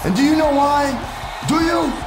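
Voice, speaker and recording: A menacing wrestling showman in a video game, delivering declamatory promos. His high voice rings with echo, and music plays behind. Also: moderate pace, a crowd in the background. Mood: confrontational. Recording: echoey arena sound with music behind.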